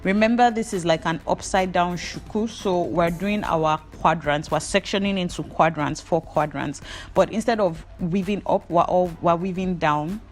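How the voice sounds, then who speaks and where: A woman's voice: on the low side, moderate pace, faint music behind. A young Nigerian woman with a Nigerian accent and Yoruba as her first language, giving a hair-braiding tutorial voice-over in a playful, gossipy, rambling way.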